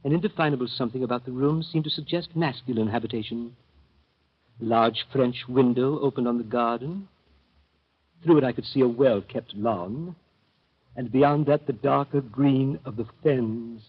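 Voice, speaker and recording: An actor playing a nervous, fussy man who keeps clearing his throat, narrating in a 1930s radio drama. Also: medium pitch, normal speed, lightly acted. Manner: prim, throat-clearing, precise. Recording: dull old radio broadcast.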